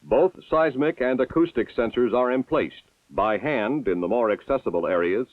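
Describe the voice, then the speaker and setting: A man giving formal, measured scripted narration for an old military training film. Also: medium pitch, animated, no echo. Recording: tinny lo-fi 1960s film soundtrack.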